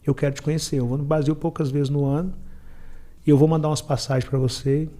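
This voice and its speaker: High-pitched, fast-talking Brazilian man telling stories. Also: level tone, mellow. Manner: acts out dialogue as he recounts, colloquial and emphatic. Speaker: podcast guest, entrepreneur and former beach water vendor. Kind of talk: animated first-person storytelling in conversation.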